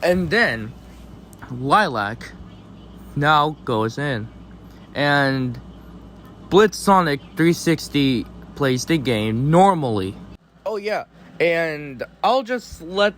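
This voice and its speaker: Male video host, slightly high voice, casual explainer commentary with choppy, halting delivery. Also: lively intonation, fairly bright tone, a little hiss or hum.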